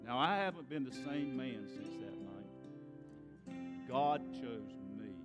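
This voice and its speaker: A man's voice: medium pitch, animated, slow, in a large hall, over loud music. A man playing a humble, awestruck shepherd telling the nativity story in a folksy, theatrical church-play monologue. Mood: awed, tender.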